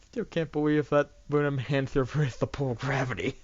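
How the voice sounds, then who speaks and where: An amateur narrator reading a fan fiction story aloud in a halting way, often stumbling over and repeating words. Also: medium pitch, steady tone, no room sound, dull audio.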